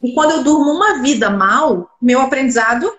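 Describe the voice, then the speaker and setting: A woman's voice: high-pitched, expressive, brisk, a bit bright. A woman speech therapist, congested a cold, explaining things in an interview and answering her own rhetorical questions. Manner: asks rhetorical questions and answers them herself. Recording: roomy echoey room sound.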